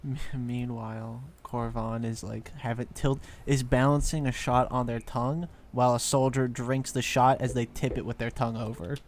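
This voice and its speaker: A man's voice: mid-range voice, flat delivery, normal speed, hardly any pauses. A man playing a tabletop game, casually improvising his character's actions in an irreverent, sweary way. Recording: faint noise and room ambience.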